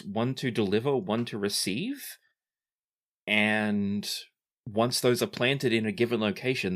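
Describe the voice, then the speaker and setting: A man narrating a tabletop game as the game master. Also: lowish voice.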